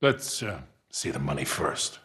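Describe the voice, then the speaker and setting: An actor with an American accent playing a brash, wary older wheeler-dealer in a video game, blunt and folksy. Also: male voice, a bass voice, big pitch swings, a little slow, a bit bright, breathy edge, exaggerated voice. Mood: wary, sardonic. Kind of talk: video game cutscene acting.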